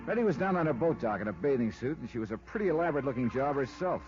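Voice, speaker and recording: An actor with an American accent playing a wry, sardonic investigator in 1950s radio detective style. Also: male voice, mid-range voice, very animated, very fast, a little mellow, lightly acted. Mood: wry narration. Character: wry, hard-boiled male insurance investigator. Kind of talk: 1950s radio detective acting, first-person narration. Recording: muffled old radio recording with music.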